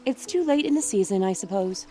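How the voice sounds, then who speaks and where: A woman's voice: mid-range voice, animated, a little fast, dark tone, faint music behind, natural voice. A woman playing a composed, practical young lady in an audiobook drama. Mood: mildly regretful.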